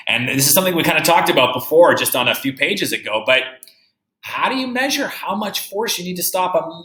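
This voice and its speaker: A man teaching young children through a read-aloud, reading deliberately and musing aloud with questions for his pupils. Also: medium pitch, pushed and bright. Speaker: third-grade teacher. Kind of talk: read-aloud lesson with think-aloud commentary. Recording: roomy, echoey indoor sound.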